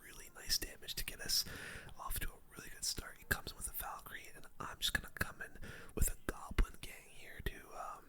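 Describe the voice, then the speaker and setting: A person doing gameplay commentary in a breathy, unvoiced ASMR whisper, using casual gamer slang. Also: a little fast. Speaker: gaming streamer, commentator.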